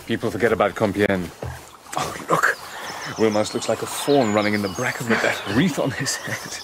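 An actor in a radio drama playing a charming, commanding Englishman on holiday, suave, brisk and decisive. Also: fairly low pitch, fairly even intonation, very noisy, natural voice. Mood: warm.

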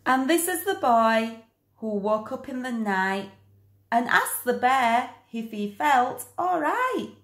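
A woman reading a children's picture book aloud in an expressive, deliberate storytelling manner. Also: fairly high pitch, roomy sound. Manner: slow, animated, deliberate storytelling.

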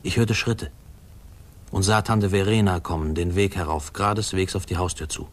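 An actor playing a grown man wistfully recalling his orphaned boyhood, reflective and measured, in a 1950s radio play narration. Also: low-pitched, fairly even intonation.

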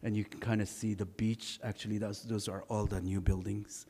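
A middle-aged man with a baritone voice giving a relaxed, anecdotal architecture lecture, often pausing on filler sounds. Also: breathy edge. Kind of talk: conversational design lecture. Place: large lecture hall. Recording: reverberant lecture hall.

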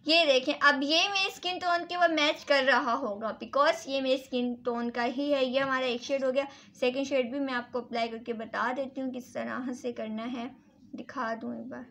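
High-pitched woman giving a casual demo. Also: fairly even intonation, normal speed, a bit bright. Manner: repetitive, instructive, mixes in English words. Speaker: beauty vlogger and salon owner. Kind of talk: casual hands-on product review and demonstration.

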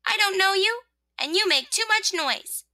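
A woman acts out a fussy little pink rosebud from a storybook, easily upset and prim. The voice is high and bright, swinging up in emphatic indignation. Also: expressive, normal speed, no room sound, studio quality, heavily acted. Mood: indignant refusing. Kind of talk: children's storybook character voice.

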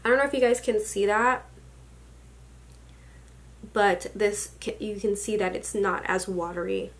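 A woman's voice: mid-range voice, fairly even intonation, a little slow. Gushing chatty young woman doing a makeup haul. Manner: gushing, chatty, with many likes and exclamations. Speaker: beauty vlogger. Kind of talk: casual makeup haul chat. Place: small home room with a vanity, indoors. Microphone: camera at desk distance.

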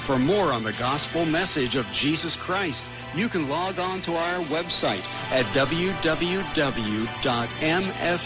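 A man reading scripted announcements for a religious radio station in a formal, measured way. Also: on the high side, rough recording. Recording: shortwave radio with music behind. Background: music bed.

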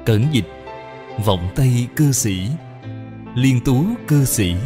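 A man's voice: mid-range voice, expressive, slow pace, music in the background. A man reading out scripted credits as a narrator. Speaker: reader. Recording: long hall reverb.

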